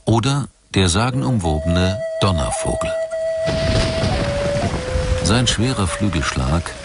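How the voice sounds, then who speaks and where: A man reading scripted documentary narration in a measured, articulate way. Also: on the low side, wildly swooping pitch, loud music behind the voice.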